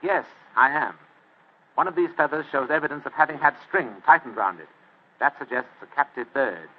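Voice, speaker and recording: A man's voice: on the high side, lively intonation, brisk. An actor playing a dry, languid British man who plots from his armchair in an old radio drama. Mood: wry. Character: wry idle older male mastermind brother. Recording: tinny old radio broadcast.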